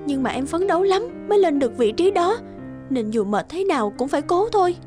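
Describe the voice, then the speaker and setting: A discontented young career wife in a cartoon drama. Her voice is high and she talks in a curt, complaining way over background music. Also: animated, brisk. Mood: weary. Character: discontented, ambitious young wife and office manager.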